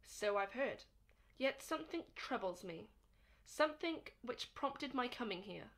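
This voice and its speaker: A woman's voice: a little high, expressive, unhurried, a bit bright. A woman acting a bold, impetuous young man in a radio drama, challenging and dramatic. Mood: questioning.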